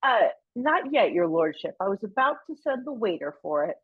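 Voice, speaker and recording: A woman's voice: mid-range voice, big pitch swings, moderate pace, narrow, tinny audio, a little hiss or hum, natural voice. A woman playing an obsequious club steward in a radio comedy, with a careful, hesitant politeness. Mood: hesitant, tactful. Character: deferential, flattering club steward serving his lordship. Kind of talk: radio play comedy acting.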